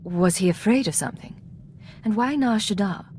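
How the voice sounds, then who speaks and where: An actress playing a composed, assured female secret agent in a video game, measured and professional. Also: medium pitch, lively intonation, a little mellow, a little hiss or hum, natural voice. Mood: guarded.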